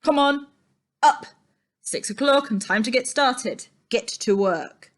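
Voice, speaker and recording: A woman plays an excitable working-class uncle from a children's storybook. He is bossy and impatient, barking out his words in loud exclamations, obsessed with his fish machines. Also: high voice, slow, pitched above the performer's own voice. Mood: commanding, urgent. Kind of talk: storybook character reading.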